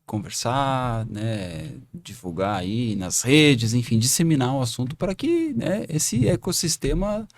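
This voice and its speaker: A man's voice: medium pitch, big pitch swings, a little slow, few pauses, dry recording, clean studio sound. A man hosting a podcast, wrapping up the talk in a friendly way and often adding "right?" to his sentences. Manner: friendly, leans on "né?" and "aí". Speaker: podcast host. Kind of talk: casual podcast wrap-up.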